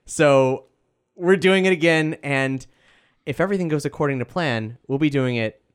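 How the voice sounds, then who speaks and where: A man's voice: fairly high pitch, normal speed, smooth. A man hosting a podcast, chatting casually to introduce a group. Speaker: podcast host. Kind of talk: casual hosted group chat.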